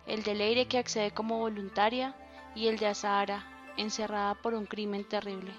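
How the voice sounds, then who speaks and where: A woman's voice: medium pitch, little pitch movement, moderate pace, dull audio. Woman reading a synopsis over music. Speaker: podcast contributor reading a synopsis. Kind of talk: scripted synopsis narration. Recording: read over background music.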